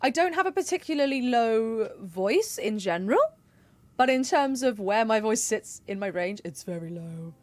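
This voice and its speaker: A woman casually chatting and laughing while playing a game on stream, in a relaxed, playful way. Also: a little high, normal speed, no room sound. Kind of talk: casual gameplay commentary.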